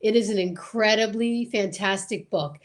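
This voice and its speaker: A woman's voice: mid-range voice, normal speed. A woman hosting a casual live chat, speaking in an enthusiastic, emphatic way and often repeating words for stress. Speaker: host of a radio drama group's live chat.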